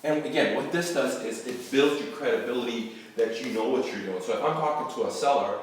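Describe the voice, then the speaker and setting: Iranian-born investor, middle pitch, loose off-the-cuff teaching, distant mic, heavy room echo, faint background hiss. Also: male voice, fairly quick. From Iran. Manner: loose and rambling, often checking in with "okay?", casual swearing. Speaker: real estate investor and channel host. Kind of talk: casual instructional talk to camera. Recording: very echoey room, mic far off.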